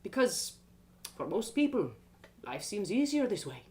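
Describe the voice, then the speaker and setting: A woman voicing a wise, gentle old village man in a storybook, speaking slowly in a measured, fatherly, philosophical way. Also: mid-range voice, sing-song. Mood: calm, knowing.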